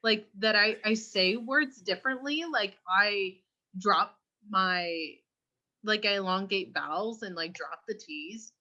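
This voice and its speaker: A young woman from California co-hosting a casual podcast, chatty and often clearing her throat. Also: medium pitch, unhurried, sharp tone, highs cut off. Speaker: podcast co-host. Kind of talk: casual chat.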